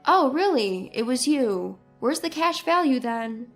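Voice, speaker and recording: High, pointed betrayed wife played by a woman. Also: lively intonation, measured pace, fairly bright tone, faint music behind, heavily acted. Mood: probing. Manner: pointed, questioning. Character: betrayed, sharp-tongued wife and mother confronting her husband.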